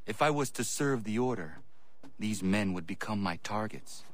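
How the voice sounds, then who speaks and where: An actor playing an earnest, solemn young apprentice in a video game, speaking in a formal, measured way. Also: male voice, on the low side, expressive, lightly acted. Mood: solemn, determined. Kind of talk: dramatic game dialogue and solemn narration.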